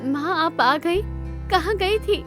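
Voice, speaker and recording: A woman's voice: very high voice, slow pace, music in the background, voice pushed up. A woman voicing a sweet, devoted young princess in a cartoon story, girlish and eager.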